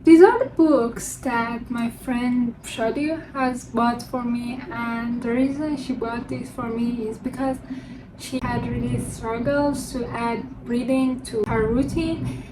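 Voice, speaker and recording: A young woman with a high, bright-sounding voice chats cheerfully through her stack of books, English being her second language. The mic sits some way off from her. Construction noise from next door carries through clearly. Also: distant mic. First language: not English. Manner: chatty and upbeat, presenting books one by one. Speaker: book vlogger. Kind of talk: casual vlog showing her unread books. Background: construction noise from a neighbor.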